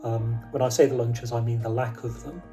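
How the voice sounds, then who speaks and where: Mellow, wry man over soft music. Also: medium pitch, fairly even intonation, a little fast. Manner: wry, tongue-in-cheek. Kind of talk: casual interview talk.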